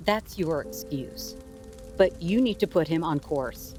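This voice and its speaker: Middle-aged female for audio drama: ambitious, demanding wife and mother, animated intonation, slightly low register. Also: unhurried, faint music behind. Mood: frustrated, insistent.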